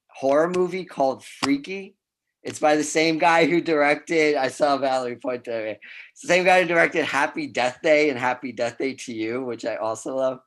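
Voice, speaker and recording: Man performer, casual talk, slightly high voice, loose. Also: fairly bright tone. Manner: loose, laughing, says "like" a lot. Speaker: open mic performer. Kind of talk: casual chat.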